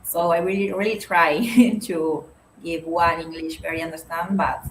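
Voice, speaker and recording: A young Argentine woman speaking halting, non-native English with a Spanish accent, answering interview questions on a video call and laughing often. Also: low-pitched, flat delivery, fairly bright tone, roomy sound, a little hiss or hum, poor audio. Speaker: guest speaker.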